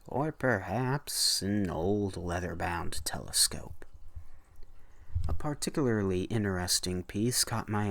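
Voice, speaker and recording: Deep-voiced man with a gravelly, dramatic delivery. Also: dry recording. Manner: deliberate, theatrical phrasing. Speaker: narrator of horror story readings. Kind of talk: dramatic scripted narration.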